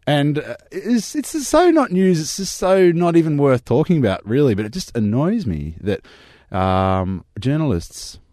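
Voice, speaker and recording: Croaky, chatty man on radio. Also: a little high, sing-song, measured pace, a little mellow, no echo, studio quality. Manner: chatty, mocking, laughs often. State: croaky voice from yelling. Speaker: radio co-host presenting a news segment. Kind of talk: casual radio chat.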